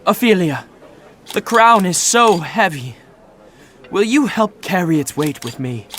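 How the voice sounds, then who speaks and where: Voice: mid-range voice, animated, unhurried, a bit bright, a little hiss or hum. An actor playing a goofy, theatrical young prince with a playful, grandiose manner in a romantic comedy audio drama. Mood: grandly romantic.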